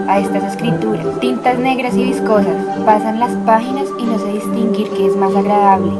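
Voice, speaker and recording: A woman's voice: medium pitch, close to an everyday voice. A woman playing a curious, sceptical explorer in an amateur radio drama, narrating in a literary, musing way.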